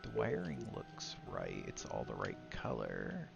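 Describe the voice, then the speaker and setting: A man's voice: rumbling low, sing-song, a little slow, gravelly, highs cut off, over music. A man chatting casually as he streams and comments on his gameplay. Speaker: game streamer.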